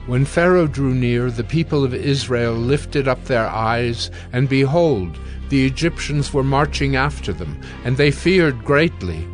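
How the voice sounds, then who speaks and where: Solemn male scripture narrator over soft music. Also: mid-range voice, animated. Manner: solemn, measured.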